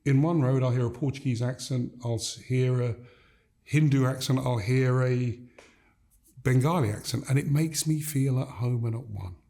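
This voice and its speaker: An older man from Gambia speaks as a guest in an interview, measured and emphatic. He stacks his points in repeated phrases. His voice sits mid-range and sounds mellow and warm. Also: medium pitch, gravelly. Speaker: guest, leadership coach. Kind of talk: reflective interview conversation.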